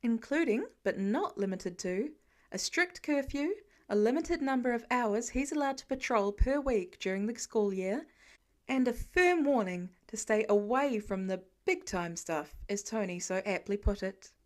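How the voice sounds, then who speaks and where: A woman's voice: fairly high pitch, lively intonation, unhurried. A woman reading a story aloud as scripted narration. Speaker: podfic narrator.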